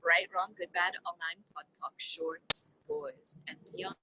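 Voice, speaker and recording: A woman's voice: fairly low pitch, huge pitch swings, measured pace, heavy background noise. A woman reading a conversational self-help book aloud, voicing its questions and answers with expression. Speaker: reader of a book read-along.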